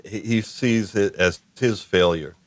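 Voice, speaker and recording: A man's voice: fairly low pitch, unhurried. A man hosting a casual discussion, chatting in a conversational way. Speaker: podcast host. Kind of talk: casual chat.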